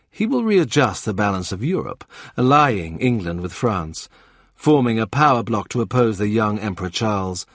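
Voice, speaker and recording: A man narrating a historical novel in a measured, deliberate way. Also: fairly low pitch, no echo, clean studio sound. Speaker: audiobook narrator. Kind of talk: scripted literary narration.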